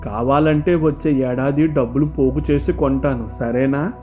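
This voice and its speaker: A man playing a poor, gentle village husband and father in an animated moral story, speaking with weary reassurance. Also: mid-range voice, normal speed, soft background music, close to an everyday voice. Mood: gentle, reassuring.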